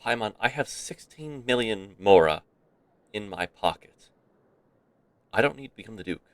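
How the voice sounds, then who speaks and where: A man's voice: fairly low pitch, expressive, normal speed, no room sound. A man doing casual live commentary on a game as a streamer, with wry asides. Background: game music.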